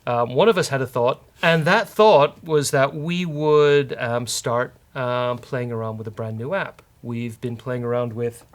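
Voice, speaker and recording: A man with an Irish accent hosting a casual live-coding demo, dry, teasing and halting. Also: medium pitch. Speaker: host, software developer.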